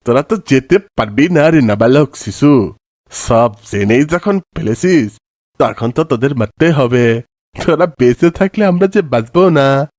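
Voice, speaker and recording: A man's voice: medium pitch, expressive, measured pace, darkish tone, no echo, studio quality, lightly acted. A man playing a menacing, servile bandit chief in a cartoon. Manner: obsequious to his boss, threatening to children. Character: menacing forest bandit chief, fawning to his paymaster. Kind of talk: cartoon voice acting.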